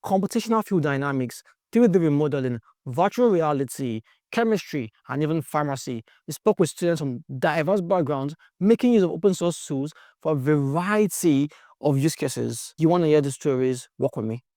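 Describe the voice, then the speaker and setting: A man with a fairly high voice hosts and sets up a run of student stories, earnest and building up toward the tales. The sound is dry and close, with no room around it. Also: animated, normal speed, dark tone, no room sound. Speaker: host. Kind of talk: scripted introduction.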